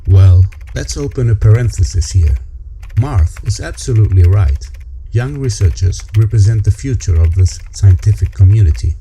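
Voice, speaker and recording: Deep, dark-voiced male narrator. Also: a little hiss or hum. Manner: calm, measured. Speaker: documentary narrator. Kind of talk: scripted narration.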